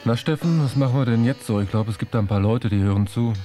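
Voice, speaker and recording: A man's voice: medium pitch, fast, dark tone, soft background music. A man hosting a radio magazine show in a loose, tongue-in-cheek way with mock grandeur.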